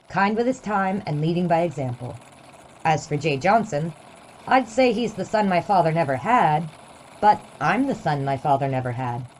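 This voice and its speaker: An actress playing a wry, affectionate assistant newspaper editor, narrating an audio drama in a dry manner. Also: female voice, on the low side, a little mellow, a little hiss or hum, natural voice. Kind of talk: scripted first-person drama narration.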